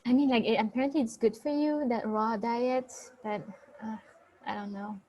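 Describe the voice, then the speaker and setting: A woman's voice: fairly high pitch, fairly even intonation, normal speed, highs cut off, slight background noise. A woman with a French accent, chatting casually in an interview, hesitant and full of fillers, laughing easily. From France. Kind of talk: casual video-call interview.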